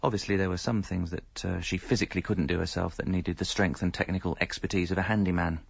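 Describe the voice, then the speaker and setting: A man's voice: sits low, steady tone, quick pace, vocal fry, slightly rough, no room sound, full character voice. An actor playing a hapless, evasive middle-aged English husband and father, narrating a radio comedy in a wry, self-deprecating way. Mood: wry, confiding. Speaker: narrator and lead character. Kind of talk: radio comedy monologue and dialogue. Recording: slightly dull audio, music behind.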